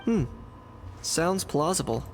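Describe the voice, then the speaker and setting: A man's voice: a little high, expressive, slow pace. A man voicing a laconic, cool, practical young man in a video game. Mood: cool, matter-of-fact. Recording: faint music behind the voice.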